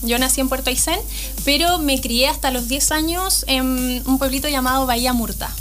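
Young woman singer, soft-spoken. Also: high-pitched, moderate pace. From Chilean Patagonia. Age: 20s. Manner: friendly, modest, many fillers, laughs easily. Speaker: guest singer. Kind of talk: casual radio interview.

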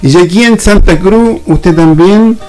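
A man's voice: high voice, a little slow, darkish tone, soft background music, poor audio. A man with a Chilean accent hosting a casual interview in a folksy, familiar, colloquial way. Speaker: radio host.

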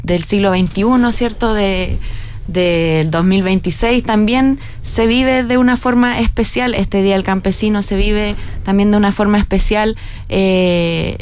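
A woman's voice: mid-range voice, steady tone, darkish tone, a little hiss or hum. Woman hosting a radio interview. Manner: measured, with frequent "eh" fillers and tag questions. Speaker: radio host.

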